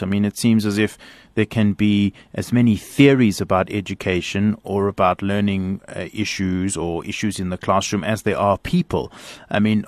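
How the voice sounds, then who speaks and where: A priest hosts a radio interview, asking his guest thoughtful, probing questions. His voice sits fairly low and is recorded close and dry, with no room sound. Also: a little mellow, no room sound.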